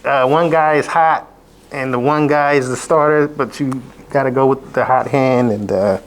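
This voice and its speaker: A hoarse man, sick, hosting a casual college football betting recap, rambling with many fillers and restarts. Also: mid-range voice, normal speed, a little hiss or hum. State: hoarse from illness. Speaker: sports betting show host. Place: abroad, indoors.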